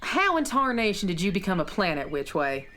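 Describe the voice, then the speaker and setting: A woman's voice: mid-range voice, big pitch swings, brisk, very noisy, natural voice. A woman playing a plucky, practical space cowgirl with folksy, emphatic phrasing in a children's audio drama. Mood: astonished.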